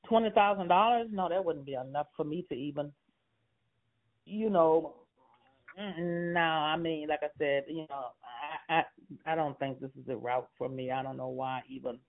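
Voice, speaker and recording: A man's voice: a little high, moderate pace, creaky. A man in his sixties, a homeowner on a phone call, talking hesitantly with many fillers and short laughs. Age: 60s. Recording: tinny phone line.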